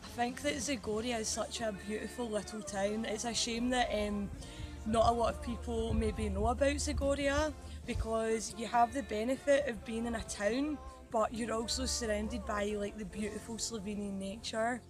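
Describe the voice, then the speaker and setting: A woman's voice: on the high side, steady tone, normal speed, low-quality recording. A woman in her twenties answering interview questions fluently and with enthusiasm. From Scotland. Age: 20s. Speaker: youth exchange participant. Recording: music playing behind.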